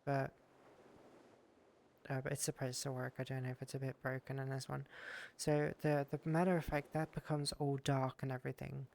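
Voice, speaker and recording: A man's voice: mid-range voice, level tone, normal speed, gravelly, darkish tone, slight background noise. A man streaming a casual game session, rambling and thanking viewers, sounding stuffy from a cold. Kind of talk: casual streaming chat while exploring a game world.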